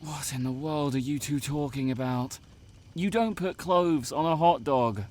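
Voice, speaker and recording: A man's voice: a little high, a little slow, darkish tone, close to an everyday voice. A man playing a blunt, skeptical young male camp counselor in an audio drama, brusque and sarcastic. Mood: impatient.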